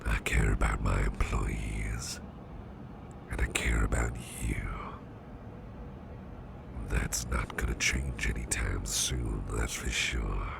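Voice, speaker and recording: A man playing a caring, gruff businessman boss in a soft, half-whispered, intimate ASMR roleplay. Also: little pitch movement, exaggerated voice. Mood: tender, reassuring. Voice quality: gravelly, breathy low murmur. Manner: slow, hesitant, intimate, soothing. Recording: clearly audible background noise.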